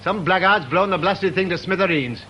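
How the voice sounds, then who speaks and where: An actor playing a pompous, caustic British consultant in a radio drama, eccentric, supercilious and sarcastic. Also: male voice, high voice, moderate pace, bright, forceful tone, dull audio. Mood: haughty irritation. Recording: radio recording with faint noise.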